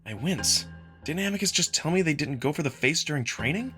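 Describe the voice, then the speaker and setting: A man's voice: on the high side, animated, a little fast, bright, forceful tone, soft background music. A man narrating a visual novel in the first person as a dramatic story reading. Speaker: narrator and voice performer.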